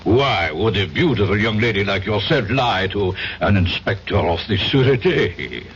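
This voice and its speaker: An actor playing a stern, suspicious veteran French police inspector in a radio drama, with a French accent and a formal, measured, pointed manner. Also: male voice, lowish voice, animated, a bit bright. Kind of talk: old-time radio drama acting. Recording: dull old radio broadcast with faint noise.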